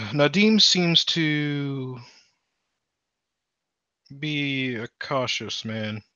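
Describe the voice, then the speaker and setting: A man's voice: a little high, expressive, very slow, pauses often, bright, forceful tone, clear, resonant tone, no echo, highs cut off, voice pushed up, exaggerated voice. A man voicing a shuffling undead bone merchant with a thick Turkish accent who fumbles through his words. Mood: wary.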